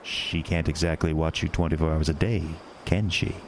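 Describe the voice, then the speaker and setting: An actor playing a sinister, smooth-talking male carnival showman in a horror audio drama, with a deep, coaxing, theatrical delivery. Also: fairly even intonation, moderate pace, a little hiss or hum. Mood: sly. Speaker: carnival owner.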